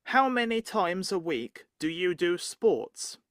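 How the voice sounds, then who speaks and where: A speaker slowly and clearly reading exam questions from a recording. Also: medium pitch, very animated.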